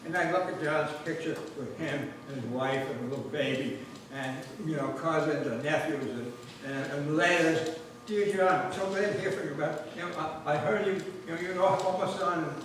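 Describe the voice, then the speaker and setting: An older man, a war veteran, recalls wartime memories in a halting way, repeating words and dropping his voice low. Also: fairly bright tone, slight background noise. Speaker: veteran speaker. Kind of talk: personal war memories at a ceremony. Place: grange hall. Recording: echoey hall, voice from far off.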